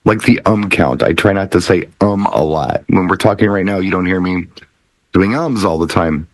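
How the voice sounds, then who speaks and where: Male DJ panelist, middle-aged, lower register, dry recording, measured conversational delivery with deliberate pauses. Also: no echo. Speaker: DJ and talk show panelist. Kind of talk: casual chat.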